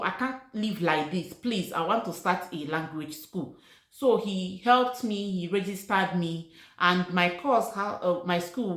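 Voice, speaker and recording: A middle-aged woman from Nigeria whose first language is Igbo, telling her personal story to camera in a casual, earnest way and repeating key words for emphasis. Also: on the low side. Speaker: vlogger. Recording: echoey room.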